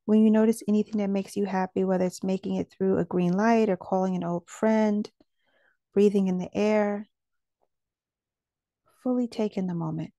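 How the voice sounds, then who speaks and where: A woman leads a guided meditation with a gentle, soothing delivery. Her medium-pitched voice is relaxed and warm, with a creaky edge. The sound is dry and close, with very little room in it. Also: normal speed, long pauses, dry recording, muffled recording.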